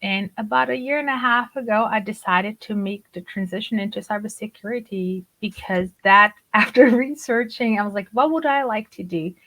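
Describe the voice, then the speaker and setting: A woman's voice: medium pitch, moderate pace, hardly any pauses, a bit bright, low-quality recording. A woman in her forties, raised in Germany with Turkish as her first language, chatting as a podcast guest and laughing often. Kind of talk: conversational interview.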